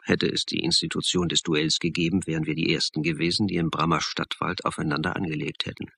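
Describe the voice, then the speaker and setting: A man's voice: rumbling low, level tone, fast talker, creaky, bright, forceful tone, breathy edge, full character voice. An actor playing a wry, irritable police academy man in a German radio crime drama, speaking with dry sarcasm. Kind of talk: first-person narration and dialogue in radio crime drama.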